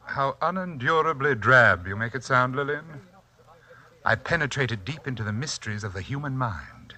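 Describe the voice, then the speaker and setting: A man's voice: medium pitch, lively intonation, moderate pace, bright, forceful tone. A man acting in a 1940s radio drama as a pompous, condescending and sinister self-styled psychic scientist, speaking in elaborately formal phrases. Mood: haughty, menacing.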